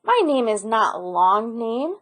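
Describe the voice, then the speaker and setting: A woman's voice: on the high side, very animated, slow pace, background noise. A woman voicing a cheerful, eager young boy in a storybook, with a sing-song way of talking. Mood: determined. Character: cheerful young island boy, singing his long name.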